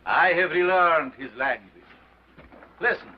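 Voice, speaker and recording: An actor playing a grandiose sideshow scientist who declaims in a pompous, theatrical way, on a dull old film soundtrack. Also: male voice, a little high, measured pace, slightly rough. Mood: solemn. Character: grandiose male carnival scientist, commanding and theatrical.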